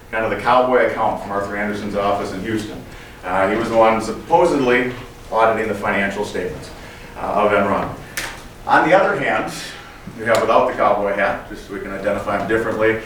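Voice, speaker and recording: Bright-voiced man explaining in a very echoey room. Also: lowish voice, fairly quick. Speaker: professor. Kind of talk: informal explanatory storytelling. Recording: echoey room, distant mic, faint noise.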